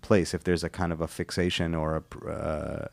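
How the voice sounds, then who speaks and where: A man's voice: rumbling low, flat delivery, normal speed, gravelly, no echo. A man hosting an interview, asking thoughtful questions in an agreeable way and often saying yeah along the way. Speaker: interview host.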